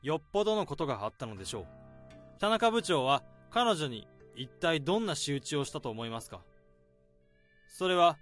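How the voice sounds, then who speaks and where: Guy playing a calm probing salaryman detective, kinda high voice, music under it. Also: moderate pace. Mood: accusing. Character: sharp office worker turned detective, young man. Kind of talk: radio drama acting.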